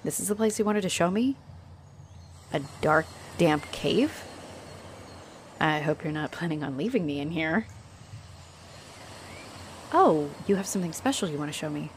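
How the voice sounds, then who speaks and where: A woman playing a friendly, flirtatious halfling orc adventurer in a roleplay audio, speaking straight to the listener in a warm, playful, expressive way. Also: low-pitched, moderate pace, pauses often, a little hiss or hum. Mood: curious.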